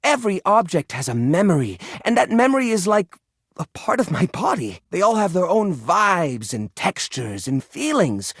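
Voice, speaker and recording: An actor playing a fussy, sentimental hoarder in a video game, easily flustered, talking in an earnest, rambling, expressive way. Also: male voice, high-pitched, normal speed, breathy edge, no room sound, clean studio sound, heavily acted. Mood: nervous, sentimental. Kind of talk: video game character dialogue.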